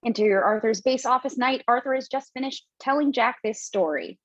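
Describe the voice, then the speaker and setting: A woman's voice: a little high, fairly quick, no room sound, dull audio. A woman reading stage directions aloud in a table read, plainly, with casual asides.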